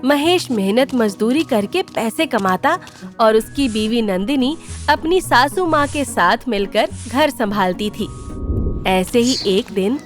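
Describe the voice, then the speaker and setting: A woman's voice: fairly high pitch, animated, slow pace, soft background music. A woman giving a scripted narration of a children's moral story.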